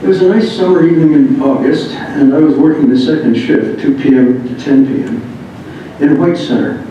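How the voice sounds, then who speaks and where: A man reads a written first-person memoir aloud, halting at times. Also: warm tone. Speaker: reader of a memoir. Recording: muffled, very echoey room, distant mic, rumbling noise.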